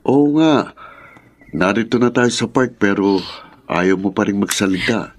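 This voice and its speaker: A man's voice: on the low side, animated, measured pace. An actor playing a concerned, helpful young male friend in a Filipino radio drama.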